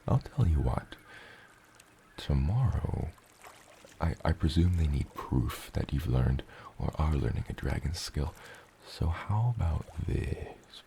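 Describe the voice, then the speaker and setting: Giant gentle dragon dad for asmr roleplay, super crackly growly low voice, dark and mellow, tender and eloquent. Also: male voice, lively intonation, exaggerated voice. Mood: reassuring, fond. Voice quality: very deep gravelly rumble, breathy. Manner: slow, tender, eloquent, slightly old-fashioned wording. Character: huge, gentle, doting father dragon.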